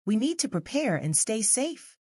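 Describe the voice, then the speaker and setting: A woman voicing a calm, serious and reassuring mother who explains things instructively in a children's storybook. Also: a little high, moderate pace, breathy edge, no echo, studio quality, pitched above the performer's own voice.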